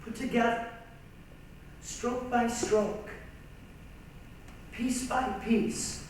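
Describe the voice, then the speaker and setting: A woman narrating dramatically on stage with deliberate, declamatory phrasing. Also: a little high, fairly even intonation, distant mic. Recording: echoey hall from afar, faint hiss.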